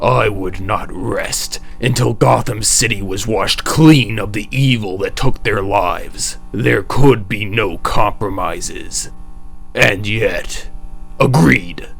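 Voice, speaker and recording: Man doing a grim, slow-talking masked vigilante. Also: lowish voice, creaky, a bit bright, breathy edge, soft background music, heavily acted. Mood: solemn. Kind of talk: comic dub acting.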